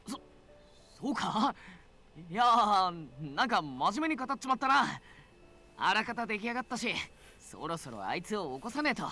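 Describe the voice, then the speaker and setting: A man's voice: high voice, big pitch swings, fast talker, sharp tone, exaggerated voice. A man voicing an earnest, easily flustered young boyfriend in a Japanese visual novel, speaking in a casual, blunt, caring way with a Japanese accent. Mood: bashful. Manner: casual blunt masculine speech, rambles when flustered. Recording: music behind the voice.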